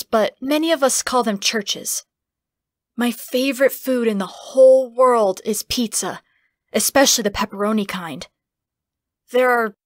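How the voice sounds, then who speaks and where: Audiobook heroine by a female narrator: young woman, earnest, hesitant, higher register. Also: dry recording, clean studio sound. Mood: earnest, explaining. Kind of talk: audiobook character acting.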